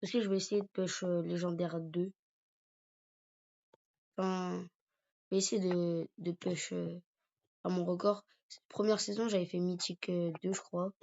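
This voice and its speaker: A man's voice: high-pitched, flat delivery, a little slow, gravelly, pauses often, a little mellow, a little hiss or hum. A man with a cold and a sore throat, rambling hesitantly through casual commentary over his gameplay. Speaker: gaming YouTuber. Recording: dull lo-fi recording.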